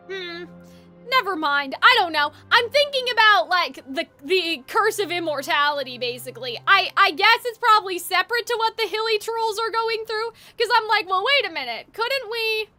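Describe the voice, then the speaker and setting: Very high, bright-voiced woman streaming a game. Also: expressive, normal speed, faint music behind. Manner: rambling, thinking aloud, addressing chat. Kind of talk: casual live gameplay commentary.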